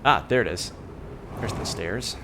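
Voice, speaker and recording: A man streaming a game, thinking aloud in a relaxed, soft-spoken way and humming as he works things out. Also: fairly low pitch, a little slow, background noise. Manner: thinking aloud, musing with hums. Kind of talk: casual gameplay commentary.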